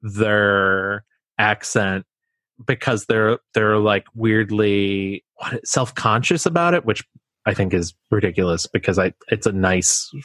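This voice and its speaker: A man with a Midwestern American accent co-hosting a casual film podcast, talking in a halting, restarting way. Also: fairly low pitch, fairly bright tone, no echo. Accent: generic Midwestern American. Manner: hesitant, lots of restarts, laughs. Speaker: podcast co-host.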